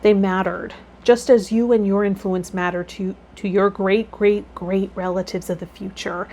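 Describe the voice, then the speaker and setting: A woman's voice: medium pitch, steady tone, normal speed, mellow, a little hiss or hum. A woman hosting a tarot video, reading card meanings aloud and adding casual commentary.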